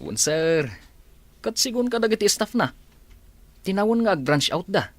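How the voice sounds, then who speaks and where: A man's voice: high-pitched, lively intonation, moderate pace, no echo. A man with an Ilocano accent playing a cheeky, boastful driver in a radio comedy drama. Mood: tactless.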